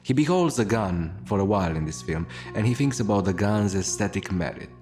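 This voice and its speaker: A man's voice: on the low side, animated, a little fast, darkish tone, natural voice. A man playing a melancholic, world-weary radio host, delivering a brooding, wry confessional monologue in florid words. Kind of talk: scripted radio monologue, noir-like confessional narration. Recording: music under the voice. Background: guitar music.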